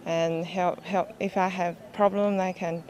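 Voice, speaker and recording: An eighteen-year-old woman, an exchange student whose first language is Chinese, speaking English in slow, halting, short phrases. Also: fairly low pitch, flat delivery, darkish tone, rough recording. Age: teens.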